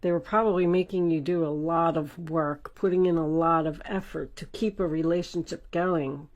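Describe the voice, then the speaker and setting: Low-pitched woman giving a tarot reading. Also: steady tone, normal speed, a little mellow, muffled recording. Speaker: tarot reader, host of an online reading. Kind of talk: informal tarot reading addressed to the viewer.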